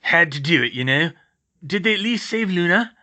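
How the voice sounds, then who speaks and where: A man voicing a big, gruff but kindly older giant of a man in a storybook, with rustic, ungrammatical speech. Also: high voice, animated, normal speed, sharp tone, pitched above the performer's own voice, heavily acted. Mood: horrified, regretful. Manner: rustic, ungrammatical, dropped words.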